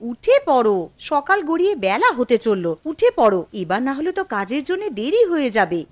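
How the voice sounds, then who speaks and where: A woman's voice: sits high, sing-song, a little fast, no room sound. A woman voicing a busy young housewife and mother in a cartoon, practical and a little scolding. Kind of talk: cartoon voice acting.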